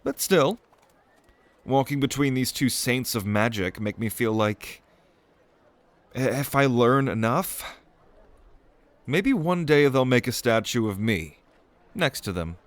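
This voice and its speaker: A man's voice: mid-range voice, expressive, takes it slowly, long pauses, no room sound. A man playing an eager, proud young noble mage prince in an audio roleplay, speaking in a theatrical, earnest way. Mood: wistful, ambitious. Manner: theatrical, earnest, grandly expository. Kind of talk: acted audio roleplay spoken to the listener.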